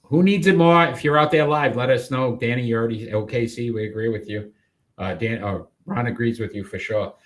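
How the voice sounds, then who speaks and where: Chatty Rhode Island sports host. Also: male voice, mid-range voice, brisk. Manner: chatty, trailing off and restarting. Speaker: sports talk show host. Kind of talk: live sports talk show chat.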